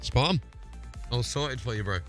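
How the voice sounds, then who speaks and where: A man's voice: medium pitch, lively intonation, normal speed, over music. A man chatting casually on a game stream in an informal, matey, sweary way while talking through technical fixes. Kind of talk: casual live stream chat, troubleshooting software.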